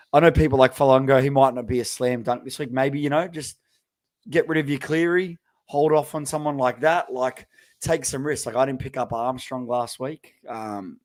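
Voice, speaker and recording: A man co-hosts a laid-back sports podcast in a mid-range voice. He rambles easily, full of ums and restarts. The sound is dry and close, with no room echo. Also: medium pitch. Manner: relaxed, rambling, with many fillers. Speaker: podcast co-host. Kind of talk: casual sports podcast chat.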